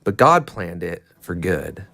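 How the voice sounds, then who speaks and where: Low-pitched man narrating with lively intonation. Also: slow pace, gravelly, dry recording, studio quality. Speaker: educational video narrator. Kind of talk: conversational explainer, co-narrated.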